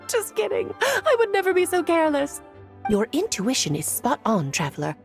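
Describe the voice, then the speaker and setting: A woman's voice: very high-pitched, very animated, a little slow, creaky, faint music behind, heavily acted. An actress playing a whimsical, self-assured witch mentor in an anime game, theatrical, teasing and articulate.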